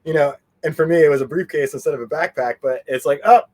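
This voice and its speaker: A man giving a casual interview as a guest, animated and meandering, often trailing off mid-sentence. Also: a little high, fast. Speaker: interview guest, fan convention organizer. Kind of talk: casual rambling interview chat.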